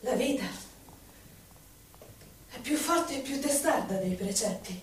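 A woman giving a theatrical poetry recital for radio, deliberate and pausing between words. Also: high voice, lively intonation, slight background noise. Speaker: poetry performer. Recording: echoey distant recital over music. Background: music.

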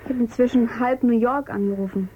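An actress playing a shaken, distrustful yet polite young woman in a German radio drama, with a husky, breathy voice. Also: fairly high pitch, fairly even intonation, fairly quick, in a large hall, far from the mic, a little hiss or hum, close to an everyday voice. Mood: subdued. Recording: dull, muffled radio play sound.

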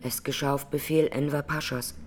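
An actress playing a grieving Armenian massacre survivor who testifies in a radio drama courtroom, plainly, solemnly and with measured words. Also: female voice, extremely low pitch, level tone, slight background noise. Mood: grave, sorrowful.

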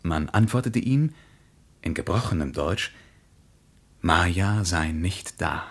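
An actor playing a reflective traveller who recounts a journey in measured, deliberate words in a literary radio play. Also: male voice, sits low, lively intonation, heavily acted.

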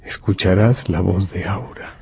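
An actor narrating a literary radio drama as a solemn, brooding, deliberate storyteller. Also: male voice, sits low, darkish tone, husky, exaggerated voice. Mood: solemn, ominous.

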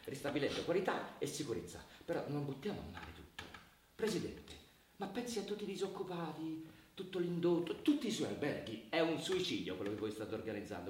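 A man's voice: mid-range voice, close to an everyday voice. An actor playing a pressured, persuasive male mayor who schemes and pleads, pressing with questioning prompts in a naturalistic radio drama. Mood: agitated, forceful, then pleading. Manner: insistent, tagging sentences with questioning prompts. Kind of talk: naturalistic Italian radio theatre acting. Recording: echoey room, distant mic, faint noise.

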